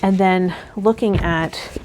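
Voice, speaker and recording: A woman hosting a tarot channel, talking and reading guidance aloud in a measured, instructional way. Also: lowish voice, fairly even intonation, a little mellow, slight background noise, rough recording. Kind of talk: vlog talk with reading aloud from tarot guide.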